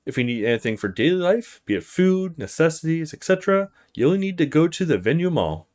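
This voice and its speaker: A man's voice: a little high, big pitch swings, brisk, darkish tone, voice pushed up. A man voicing a friendly, slightly roguish old taxi driver with a folksy, chatty manner in a game. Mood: helpful. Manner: folksy, chatty, eager to give tips.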